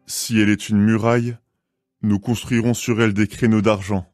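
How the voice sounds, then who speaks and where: A man's voice: lowish voice, little pitch movement, moderate pace, darkish tone, soft background music, close to an everyday voice. A man playing a protective, resolute brother in a restrained dramatised scripture reading.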